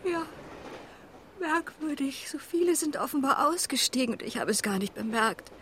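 A woman's voice: sits high, animated, moderate pace, a bit bright, slight background noise. A woman playing a weary, puzzled train passenger in a German radio play.

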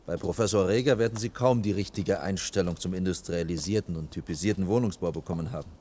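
An actor as a low-voiced, schoolmasterly boss. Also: male voice, quick pace, warm tone, a little hiss or hum, natural voice. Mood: stern, condescending. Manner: formal, pedantic, lecturing. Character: dry schoolmasterly male chief architect, proud, reproving. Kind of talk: 1980s radio play dialogue.

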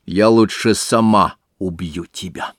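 A man's voice: low voice, takes it slowly, no room sound, studio quality. A man voicing a spoiled, willful little robber girl in a fairy-tale audiobook, blunt and headstrong. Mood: grave. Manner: blunt, headstrong, matter-of-fact.

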